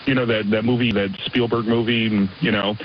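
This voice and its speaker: A man's voice: lowish voice, fairly even intonation, a little fast, dull audio, a little hiss or hum. A man from San Diego calling into a radio show, rambling and hesitant with many fillers. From San Diego, California. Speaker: caller.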